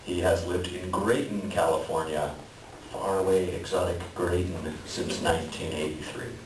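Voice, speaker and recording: Man, low pitch, chatty stage host, wry informal introduction, echoey distant recording. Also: steady tone, moderate pace. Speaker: host introducing a play reading. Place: library event room. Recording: echoey room, distant mic, clear background noise.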